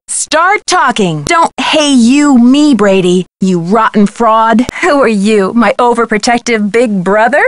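A woman playing a determined, sassy young amateur detective in a video game, with a brisk, pointed way of talking. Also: a little high, dry recording, lightly acted. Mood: confrontational. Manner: brisk, pointed, wry questioning.

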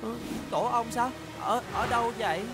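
A woman's voice: on the high side, moderate pace, lightly acted. A woman voicing a small, easily panicked vehicle character in a dubbed children's cartoon, with whimpering exclamations. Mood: confused, worried. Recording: music loud behind the voice. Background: loud cartoon music, vehicle noise.

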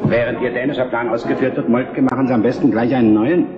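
An actor playing a hearty, commanding Prussian military leader with emphatic delivery in a historical radio play. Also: male voice, mid-range voice, steady tone, fast, natural voice. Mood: enthusiastic. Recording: dull recording with music behind.

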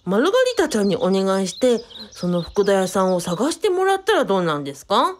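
A woman playing a courteous, curious assistant in a radio drama, speaking politely with a gently teasing manner. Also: mid-range voice, very animated, a little fast, lightly acted. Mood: suggesting. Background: birdsong.

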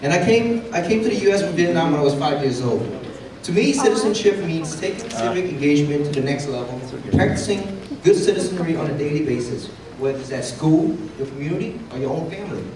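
A young man with a slightly high voice reads a prepared speech from his notes into a handheld mic. His words echo heavily through a large hall, and the recording catches him from far off with some noise. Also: expressive, quick pace, crowd noise. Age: young adult. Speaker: community speaker. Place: large meeting hall, indoors. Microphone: handheld mic, far camera. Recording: echoey hall, recorded from afar.

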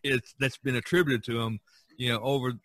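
A man's voice: mid-range voice, steady tone, fairly quick, a bit bright, no echo. A middle-aged man hosting a podcast, chatting casually and ramblingly about art. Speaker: podcast host.

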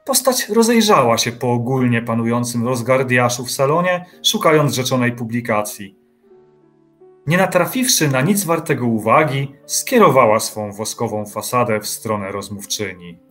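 A man narrating an audio drama in an even, measured literary reading. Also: mid-range voice, faint music behind.

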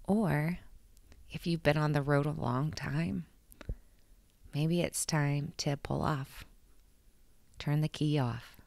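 A woman in her thirties speaks earnestly and warmly to her viewers, encouraging them and often restarting her sentences. Her voice sits low with a gravelly fry. The sound is dry and close, from a handheld mic in her bedroom. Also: steady tone, takes it slowly, long pauses, no room sound. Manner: earnest, heartfelt, encouraging, with frequent restarts and laughs. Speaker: vlog host. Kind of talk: casual motivational talk to camera. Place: bedroom at home, indoors. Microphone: handheld microphone held close.

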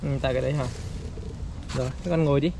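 A man's voice: mid-range voice, very fast, creaky. A young father, coaxing and reassuring his small child on a mountain trail. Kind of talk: casual family chat. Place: outdoor mountain hiking trail. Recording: steady outdoor background noise.

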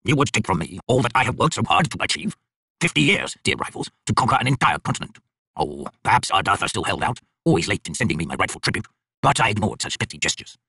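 A man voicing an arrogant, bitter tyrant High King with a raspy, theatrical, scornful delivery in an audiobook. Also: big pitch swings, fast, bright, forceful tone, no room sound, exaggerated voice. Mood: scornful, taunting.

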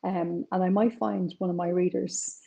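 A woman's voice: on the low side, gravelly. A woman in her forties with a North Side Dublin accent, a crime author chatting over a video call from her kitchen. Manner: chatty, animated, quick asides. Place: home kitchen with shelves.

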